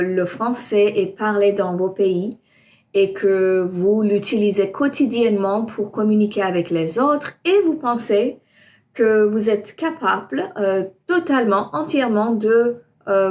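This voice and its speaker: French tutor woman, halting with ums. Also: medium pitch. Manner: hesitant, many ums, reassuring. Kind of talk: explaining to camera.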